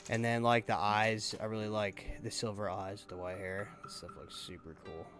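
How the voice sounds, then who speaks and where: A man giving casual, halting gameplay commentary as a YouTuber, thinking aloud as he goes. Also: lowish voice, flat delivery, a bit bright, soft background music. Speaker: gaming YouTuber.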